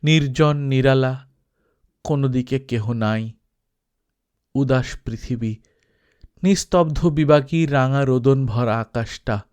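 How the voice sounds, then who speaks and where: A man reading a literary novel aloud as an audiobook storyteller, expressive, with dramatic pauses. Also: medium pitch. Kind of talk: scripted literary narration.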